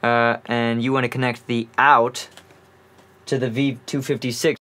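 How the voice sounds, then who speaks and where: A man's voice: mid-range voice, level tone, a little slow, bright, forceful tone. A man presenting an informal music gear tutorial, explaining in an offhand way as he thinks aloud.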